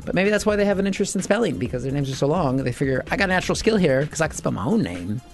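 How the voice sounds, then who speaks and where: A man's voice: fairly high pitch, very animated, rapid-fire, faint music behind. A man co-hosting a radio show, playful and chatty, stumbling over hard words. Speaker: radio co-host. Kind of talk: casual banter with mock news reading.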